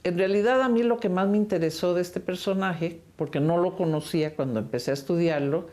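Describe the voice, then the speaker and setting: Low-voiced older woman from Costa Rica. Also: brisk, darkish tone. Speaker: film director. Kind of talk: interview about her film.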